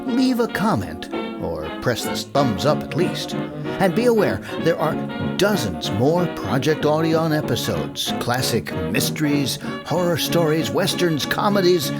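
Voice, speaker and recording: A man reading scripted closing credits as an announcer. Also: fairly high pitch. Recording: loud music behind.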